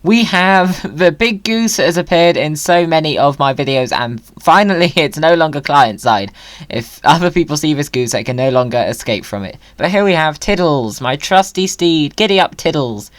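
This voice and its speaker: A chatty, playful video host with an excitable, whimsical way of talking, giving gaming commentary. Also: medium pitch, normal speed, dry recording.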